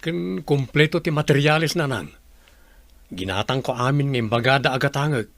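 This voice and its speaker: A man's voice: medium pitch, lively intonation, normal speed, gravelly, fairly bright tone, no room sound. Man playing a dutiful, grateful Ilocano grown son. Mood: satisfied. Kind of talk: Ilocano radio drama acting.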